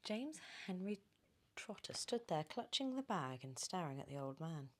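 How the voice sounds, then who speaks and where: A British woman doing ASMR bedtime storytelling in a hushed, mostly whispered, nurturing and gently commanding manner. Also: on the low side, very animated, normal speed. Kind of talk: ASMR bedtime reading and soothing talk.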